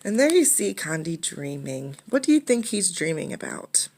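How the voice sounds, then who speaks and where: A woman reading a children's storybook aloud with expressive narration, pausing to put simple questions to her listeners. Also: fairly low pitch, slight background noise. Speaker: teacher reading a picture book aloud.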